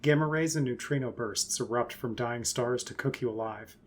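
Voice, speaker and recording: A man's voice: medium pitch, normal speed. Ominous, grave male narrator. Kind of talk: dramatic scripted intro narration.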